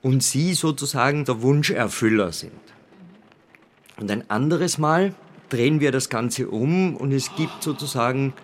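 An actor playing a dinner guest in an improvised radio comedy, explaining things in a deliberate, halting, natural way. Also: male voice, medium pitch, slight background noise, lightly acted. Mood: matter-of-fact.

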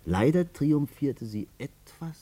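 An actor playing a wry, colloquial witness who emphatically recounts a case in a radio drama. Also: male voice, a little high, animated, takes it slowly, warm tone, slightly rough, exaggerated voice. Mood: rueful, narrating. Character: animated male witness recounting a tangled fraud case.

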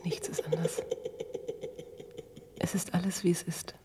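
Woman playing a low-voiced, bitter, defiant captive dancer. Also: little pitch movement, measured pace, lightly acted. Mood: intense, hushed. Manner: curt, intense, often drops into whispering. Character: imprisoned dancer and murderess, bitter, defiant, fiercely devoted. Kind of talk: German radio drama acting. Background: music.

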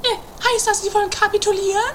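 Shrill, bossy squeaky-voiced sister. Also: female voice, little pitch movement, fast talker, fairly bright tone. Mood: mocking curiosity. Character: Mathilde, shrill, bossy, quarrelsome adventuring sister. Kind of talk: improvised trashy comedy radio play. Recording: faint noise, amateur 1980s radio play.

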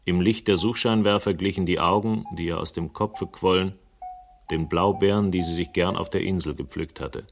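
An actor playing a shrewd, dryly ironic investigator in a German radio crime drama. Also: male voice, low-pitched, moderate pace, gravelly, slight background noise. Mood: somber, measured. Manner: dry, ironic, articulate. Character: shrewd, wry male investigator, dryly teasing, persistent.

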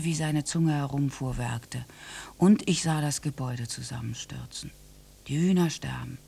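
An actress playing a bitter, war-scarred orphaned young woman in a radio play monologue, halting and intense. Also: low-pitched, darkish tone. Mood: agitated, anguished. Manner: halting, intense, dropping into breathy whispers. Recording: music behind the voice. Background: music.

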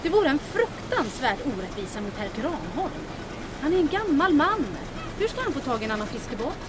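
An actress playing a mayor's young assistant, polite, composed and dryly wry, in a comic audio drama. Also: female voice, sits high, big pitch swings, noisy background. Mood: firm. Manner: polite, dry, firm.

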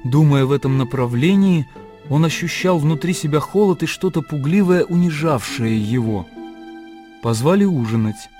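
A man narrating a literary radio drama in measured, expressive storytelling. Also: on the high side. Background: music.

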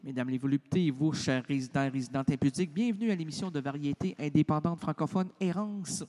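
A man's voice: on the high side, quick pace, a little mellow. A middle-aged man with a Québécois French accent hosting a radio show, in theatrical and playful improvised banter that jumps between registers. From Quebec.

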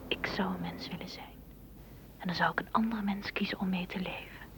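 An actress in a radio play voicing a lonely, wistful blade of grass that asks questions in a hushed, breathy whisper. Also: female voice, on the low side, fairly even intonation, fairly quick, lightly acted. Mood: questioning, wistful. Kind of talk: whispered radio drama acting. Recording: noisy old radio play recording.

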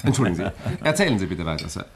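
An urbane journalist and amateur sleuth in a radio thriller. He speaks in a lowish voice, courteous and attentive, gently probing as he listens. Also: very animated, fast, slight background noise. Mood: urbane, interested. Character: polite, inquisitive male journalist, urbane amateur detective. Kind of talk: 1960s German radio crime drama acting.

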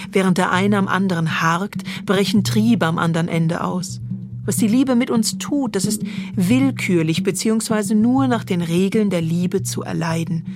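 A woman reading a literary text as scripted narration, in a measured, poetic manner. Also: on the low side.

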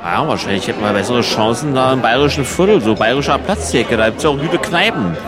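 A man's voice: medium pitch, lively intonation, fast, a loud crowd, close to an everyday voice. A man playing a cheeky, slangy beer drinker who poses as a free-church believer in a comic radio play. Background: music.

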